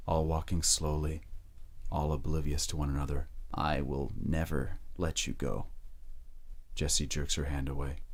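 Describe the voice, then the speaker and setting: A man reading scripted dramatic narration in a measured, deliberate way. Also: extremely low pitch.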